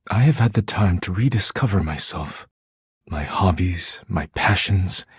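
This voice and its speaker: A low, husky, formal gentleman. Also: no echo, studio quality, full character voice. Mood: sincere, grateful. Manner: formal, measured, earnest diction. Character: formal, earnest, reserved man, once workaholic, now tender. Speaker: video game voice actor. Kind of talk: scripted game dialogue, formal romance scenes.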